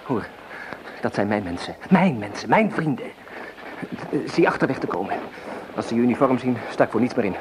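An actor playing a fervent young revolutionary fighter in a Dutch radio play, impassioned and urgent. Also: male voice, medium pitch, very animated, brisk, long pauses, lightly acted. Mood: urgent, alarmed. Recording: noisy old radio drama recording.

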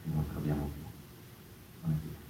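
An actor in his fifties playing a reflective, impassioned stage performer in a halting theatrical monologue that repeats words and phrases. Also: a bass voice, monotone, warm tone. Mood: hushed, reflective. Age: 50s. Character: impassioned fifty-year-old stage performer musing on freedom. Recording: echoey, distant, noisy stage room.

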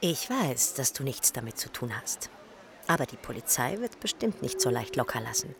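An actress playing a concerned, direct confidante in a radio drama, with a cautioning manner. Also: female voice, very deep voice, big pitch swings, moderate pace, gravelly, a crowd in the background, full character voice. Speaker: actor in a radio crime drama.

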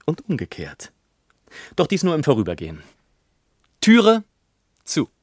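An actor playing a wry gentleman author who narrates his own life in a mock-solemn, rhetorical manner for a literary radio play. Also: fairly high pitch, huge pitch swings, unhurried, no echo, heavily acted. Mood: wry, confiding.

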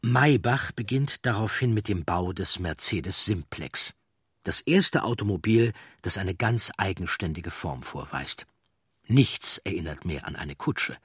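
Male narrator, low pitch, measured and articulate delivery for a documentary script. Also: animated, a bit bright, husky, dry recording, clean studio sound.

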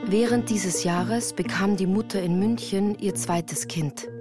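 A woman's voice: medium pitch, measured pace. A woman reading scripted first-person narration for a radio play. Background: music.

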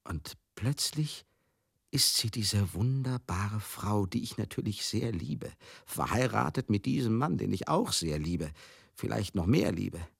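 An actor in a radio drama monologue, playing a garrulous, hard-drinking older showman who grieves as he recalls a lost friend, husky, halting and confiding. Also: fairly low pitch, lively intonation, no room sound, studio quality, full character voice. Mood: wistful, tender. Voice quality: husky, gravelly. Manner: halting, rambling, confiding, asks listeners questions.

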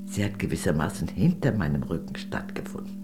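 A woman's voice: extremely low pitch, very animated, fairly quick, over music, full character voice. An actress playing a worldly, gracious older lady, a former communist, in a radio play, speaking in a genteel, arch, confiding way. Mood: wry, confiding.

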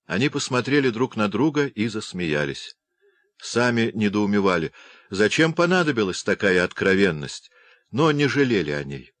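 Male audiobook narrator, very low voice, dry close sound, measured literary reading. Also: big pitch swings, fairly bright tone, no room sound.

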